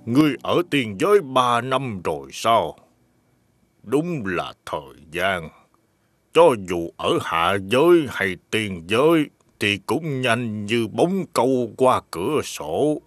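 An actor playing a philosophical immortal elder in an animated fairy tale, speaking in a measured, stately, musing way. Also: male voice, medium pitch, no echo. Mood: wistful, musing.

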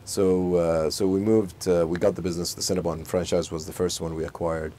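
A man in his forties from Saudi Arabia, a company chief telling stories in a casual interview, full of fillers and restarts, recorded outdoors on a lapel mic. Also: low-pitched. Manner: fast, animated storytelling full of fillers and restarts. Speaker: company CEO, interview guest. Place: outdoor garden beside a pool.

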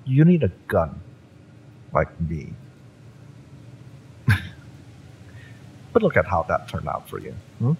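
A male actor plays a taunting cyberpunk mercenary in an intimate audio roleplay, with a deep voice that swings expressively. He mocks the listener in a menacing drawl full of dramatic pauses, over a faint rumbling noise. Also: wildly swooping pitch, vocal fry, darkish tone, heavily acted. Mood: scolding, taunting. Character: fiery, taunting male mercenary, spurned former bodyguard.

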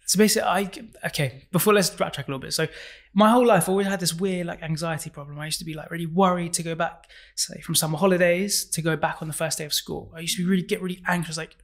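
A man's voice: on the high side, steady tone, quick pace, hardly any pauses. A man, speaking openly as a podcast guest in a small studio, on a desk mic. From England. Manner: open, earnest, restarts and fillers. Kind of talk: casual interview. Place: small studio room, indoors. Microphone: desk microphone on stand.

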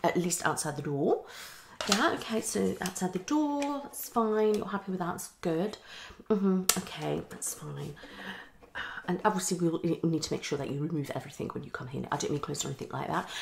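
A woman's voice: fairly low pitch, gravelly, background noise. A young woman playing a hasty, tactless female psychologist in an ASMR roleplay, half whispering in a condescending, hesitant way. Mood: wary, judging. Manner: fast switching, hesitant repetitions, condescending. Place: small living room, indoors.

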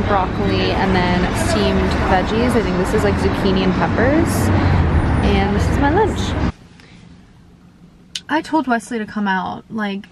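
A woman's voice: mid-range voice, poor audio. A young woman in her twenties chatting casually to her camera, bubbly and full of "like", filming at arm's length in her apartment. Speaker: vlogger. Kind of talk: casual vlog chat. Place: bright home apartment, later inside a car. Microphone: handheld camera at arm's length.

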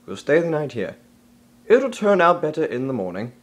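An actor playing a stern, protective panther in an animated film, authoritative and easily exasperated. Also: male voice, on the high side, moderate pace. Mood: grave, firm. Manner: authoritative, formal, scolding.

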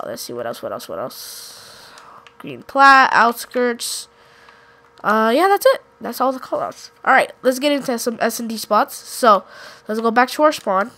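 A woman's voice: fairly high pitch, sharp tone. Woman, gaming commentator, nasal cold voice, loose and self-correcting. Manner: rambling, self-correcting, unsure. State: congested from a cold. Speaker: gaming YouTuber. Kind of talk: casual gameplay commentary.

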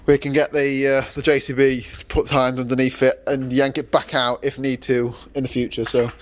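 A man's voice: medium pitch, level tone, brisk, slight background noise. A young man vlogging casually from his workshop yard, rambling with many fillers and restarts. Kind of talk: casual vlog narration.

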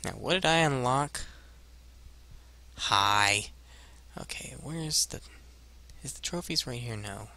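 A man's voice: mid-range voice, animated, takes it slowly, long pauses, pushed and bright. A man in his twenties giving casual let's play commentary and reading game screens aloud on a headset mic. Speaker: gaming video host.

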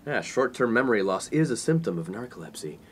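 A man's voice: medium pitch, very animated, fast, a little hiss or hum, close to an everyday voice. A young man playing a smug, pompous, oblivious college playboy in a comedy radio play. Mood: smugly assured. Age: young adult. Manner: pompous, self-important. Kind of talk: 1950s-set comedy radio play acting.

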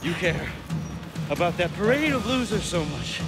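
Loud music runs under a ruthless American villain from an adventure game. The delivery is sneering and theatrical, swinging wildly in pitch. He sounds arrogant, obsessed and volatile. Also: big pitch swings, normal speed. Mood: bitter rage. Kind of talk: dramatic video game cutscene acting.

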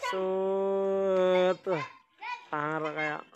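A woman with a Javanese accent calls out teasing remarks and exclamations as she films children outdoors. She talks at a very slow, drawn-out pace. Her voice comes through dull, lo-fi phone audio. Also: mid-range voice, fairly even intonation. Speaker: person filming children at play. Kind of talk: casual off-camera commentary. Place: outdoors on a wet open court. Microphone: phone camera held by the speaker.

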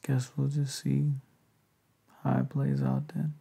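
A young man speaks softly and hesitantly in an intimate, breathy ASMR boyfriend roleplay, tender and low-key. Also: big pitch swings, slow pace. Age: young adult. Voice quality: soft, breathy, gravelly. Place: indoors, lying on a bed in a bedroom.

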